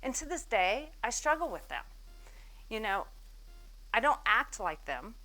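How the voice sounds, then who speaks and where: A woman's voice: fairly high pitch, big pitch swings, measured pace, pushed and bright, faint music behind, low-quality recording. A woman in her forties giving a business talk on stage, telling her story with self-mocking humor and acting out the people she quotes. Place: event stage before an audience.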